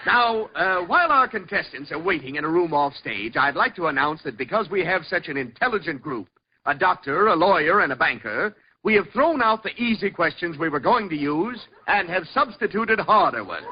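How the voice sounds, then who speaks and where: An actor playing an effusive, showy quiz show host in a radio comedy, jovial and chuckling. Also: male voice, fairly high pitch, big pitch swings, moderate pace, husky, dull audio. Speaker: quiz show master of ceremonies. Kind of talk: 1940s radio comedy acting. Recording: hissy old radio broadcast recording.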